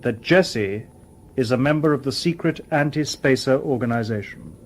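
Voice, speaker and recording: An actor in a radio drama playing a calm, coolly logical humanoid robot detective with formal, precise speech. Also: male voice, mid-range voice, moderate pace, a little hiss or hum, close to an everyday voice. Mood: calm, probing.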